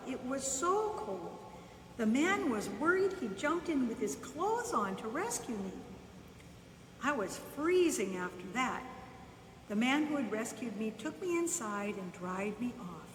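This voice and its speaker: Slow, echoey woman reading a bedtime story. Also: fairly high pitch, big pitch swings. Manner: unhurried, with pauses between short phrases. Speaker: volunteer storyteller reading a children's book. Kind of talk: bedtime story read aloud, first-person narration. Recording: echoey reverberant room, distant mic, faint noise.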